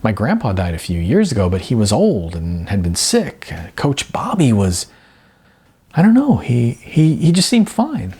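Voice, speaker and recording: A man's voice: mid-range voice, wildly swooping pitch, measured pace, mellow, slightly rough, full character voice. A man reading a novel aloud, voicing an earnest, shaken young woman with faltering speech. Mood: troubled.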